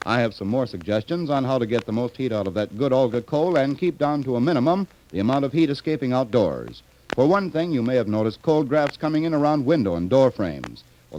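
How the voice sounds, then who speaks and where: A man's voice: mid-range voice, dark tone, rough recording. A man delivering a scripted sponsor message as a 1930s radio announcer. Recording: faintly hissy 1930s radio recording.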